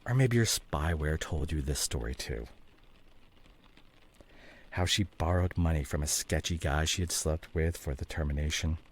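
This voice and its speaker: A man playing a guilt-ridden, defensive estranged husband and father in a dramatic audio roleplay, speaking emotionally and haltingly with a husky voice. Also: very deep voice. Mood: regretful, explaining. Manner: emotional, halting, swinging from muted to heated. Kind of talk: dramatic audio roleplay, one side of a conversation.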